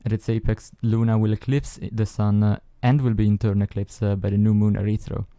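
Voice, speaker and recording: Man acting dark, mellow, hesitant male professor. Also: on the low side, flat delivery, smooth, dry recording, clean studio sound, natural voice. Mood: calm, instructive. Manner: hesitant, measured, announcing to a class. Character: mild, advisory male astronomy professor. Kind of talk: audio roleplay acting.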